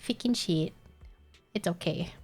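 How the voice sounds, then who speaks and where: A woman's voice: fairly low pitch, takes it slowly, darkish tone, husky, soft background music. Tired giggly woman streamer. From Australia. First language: Vietnamese. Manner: tired, scatterbrained, giggly, reads chat aloud. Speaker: game streamer. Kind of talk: casual live-stream chat while gaming.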